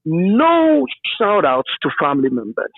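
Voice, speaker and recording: A Ghanaian-accented man who works in radio, telling stories in an interview in an emphatic, animated, conversational way. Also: sits high, unhurried, no room sound, narrow, tinny audio. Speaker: radio broadcaster being interviewed.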